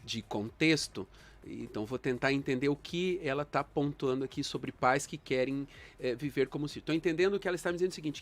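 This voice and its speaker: A man, a guest psychologist on a panel, speaking in a measured, reflective way with frequent fillers. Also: a little high. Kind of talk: thoughtful panel discussion.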